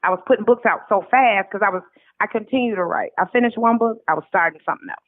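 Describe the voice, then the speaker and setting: A woman's voice: medium pitch, fast talker, no room sound. Woman on a tinny phone line, emphatic. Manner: emphatic, repeats points, acts out quoted remarks. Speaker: interview guest, author. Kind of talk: casual conversational interview answers. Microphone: telephone call.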